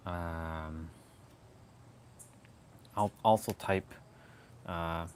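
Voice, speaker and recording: A man's voice: sits low, steady tone, very slow, slight background noise. A man hosting a casual livestream, rambling with many restarts and fillers and laughing often. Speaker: livestream host.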